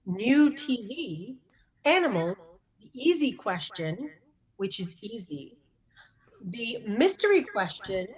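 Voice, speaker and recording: A woman acting as an off-screen game show announcer, reading out items in a measured way. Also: on the high side, husky, poor audio. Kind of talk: measured announcing of quiz topics.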